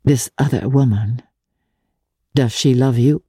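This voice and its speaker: A woman performs a worldly aristocratic lady with a wounded heart, in a literary drama. Her voice is dark and husky, and she speaks slowly, with dramatic halting pauses. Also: extremely low pitch, no room sound, clean studio sound, heavily acted. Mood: subdued, probing. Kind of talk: dramatic literary audiobook character reading.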